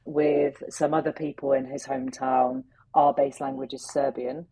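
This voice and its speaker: A woman with a low, gravelly voice and a dark, husky tone. She answers interview questions in an earnest, thoughtful way, letting her sentences run on as she works through her ideas. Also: flat delivery, moderate pace, low-quality recording. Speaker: interview guest, facilitator. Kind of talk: reflective conversational interview answers.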